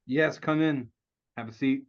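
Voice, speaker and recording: A man's voice: mid-range voice, a little slow, close to an everyday voice. A man playing a stern, businesslike secret agency chief in a comedy table read. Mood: brusque. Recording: dull, lo-fi online call.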